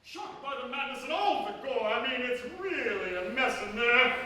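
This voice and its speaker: A man's voice: very high voice, big pitch swings, moderate pace, pushed and bright, soft background music, full character voice. A man playing a wry, melodramatic narrator in a live radio comedy, breaking into offhand asides. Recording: echoey live theatre, distant mic, noisy.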